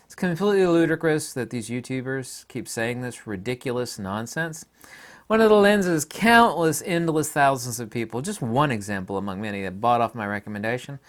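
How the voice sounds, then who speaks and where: Man, opinionated vlogger, blunt, scornful, emphatic, very animated intonation. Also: mid-range voice. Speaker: photography YouTuber. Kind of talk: opinionated vlog monologue.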